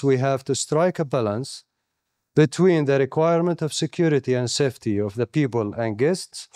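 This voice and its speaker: A man's voice: medium pitch, darkish tone. Saudi-accented man reading a formal keynote. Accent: Saudi Arabian. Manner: measured, reading from notes with deliberate pauses. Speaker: keynote speaker. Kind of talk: formal prepared speech. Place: conference stage, indoors. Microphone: handheld microphone on a stand close to the mouth.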